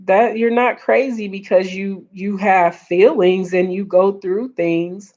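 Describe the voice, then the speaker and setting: A woman hosting a casual live stream, earnest and repeating her points, greeting viewers, her voice getting hoarse. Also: mid-range voice, steady tone, slow pace, a little mellow. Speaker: livestream host. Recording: dull lo-fi stream audio.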